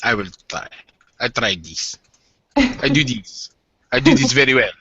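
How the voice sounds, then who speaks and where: Animated jokey man, muffled audio. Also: mid-range voice, a little slow, breathy edge, no echo. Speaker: tabletop roleplaying player. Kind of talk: casual game banter and narration.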